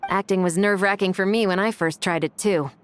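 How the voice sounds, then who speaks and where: A woman voicing a composed, no-nonsense young female officer in a video game, with a measured, businesslike manner. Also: mid-range voice, fairly even intonation, slight background noise, natural voice. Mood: relaxed, friendly. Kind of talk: English video game voice acting.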